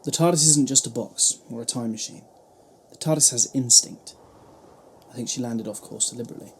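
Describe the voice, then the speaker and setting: A man's voice: medium pitch, a little fast, slight background noise, lightly acted. Man playing a breezy time-travelling adventurer. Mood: curious, breezy. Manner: casual, curious. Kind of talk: sci-fi audio drama acting.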